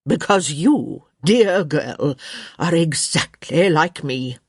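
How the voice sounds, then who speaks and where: Woman acting a sharp, imperious old countess. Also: sits low, animated, slow pace, slightly rough, no echo, studio quality, full character voice. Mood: gruffly fond. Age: elderly. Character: sharp, bossy old countess, thin, theatrical and blunt.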